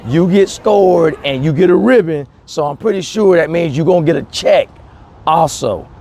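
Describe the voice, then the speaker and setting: Excited man, higher-pitched voice. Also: moderate pace. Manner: excited, emphatic, repeats key words for effect. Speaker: host of a gardening vlog. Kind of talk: casual, enthusiastic talk to camera.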